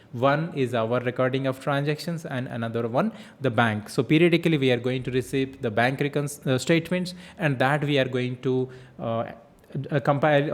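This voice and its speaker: A man with an Indian accent giving an explanatory software tutorial as an instructor, posing questions and answering them himself, often repeating phrases. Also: mid-range voice. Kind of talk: explanatory tutorial lecture with interview tips.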